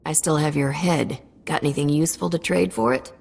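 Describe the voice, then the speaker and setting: Cocky smuggler captain, reverberant. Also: female voice, low voice, a little fast, creaky, darkish tone, breathy edge, heavily acted. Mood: sardonic. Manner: sardonic, confident. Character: cocky, wisecracking female smuggler starship captain. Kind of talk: video game cutscene acting. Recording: long reverb, faint music.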